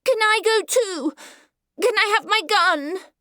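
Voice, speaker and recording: Woman as a slow, insistent little English boy. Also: very high voice, bright, forceful tone, no echo, clean studio sound, voice pushed up, exaggerated voice. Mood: piercing, eager. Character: tired, persistent, piercing little boy. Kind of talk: dramatised audiobook character dialogue.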